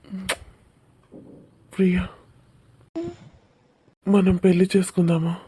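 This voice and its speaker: A woman voicing a caring, lovestruck young man in a cartoon romance, speaking in a soft, reassuring and earnest way. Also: fairly low pitch, level tone, slow pace, a little hiss or hum. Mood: tender, lovestruck.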